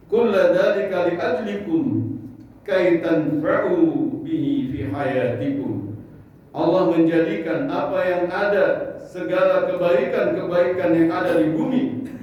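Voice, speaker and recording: Preacher in an echoey mosque. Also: male voice, a little high. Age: 50s. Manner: conversational, joking, asks the audience questions. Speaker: Islamic preacher. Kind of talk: mosque study sermon. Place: large mosque hall. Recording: echoey, distant, dull mosque recording.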